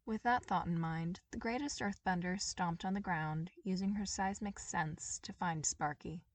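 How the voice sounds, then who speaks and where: A woman's voice: lowish voice, a little slow, dry recording, far from the mic. Woman narrating a story, slightly off-mic. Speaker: amateur audiobook narrator.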